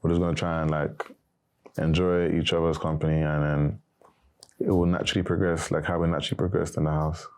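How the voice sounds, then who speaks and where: A young man, a former reality show contestant, answering interview questions in a casual, monotone way. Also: rumbling low, brisk. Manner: monotone, not very animated.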